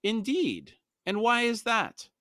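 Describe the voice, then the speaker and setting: A shrill, paranoid old schemer from a fantasy storybook, put on by a male reader. He bickers and croons in a raised, excitable voice, recorded close and dry. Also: a little fast, no echo. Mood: crooning, suspicious.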